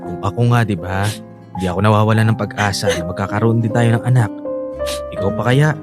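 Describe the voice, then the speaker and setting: An actor playing an upbeat, reassuring young husband in a Filipino radio drama, coaxing and encouraging with a Filipino accent. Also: male voice, fairly low pitch, steady tone, fairly quick, close to an everyday voice. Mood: soothing, coaxing. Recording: music bed under the voice.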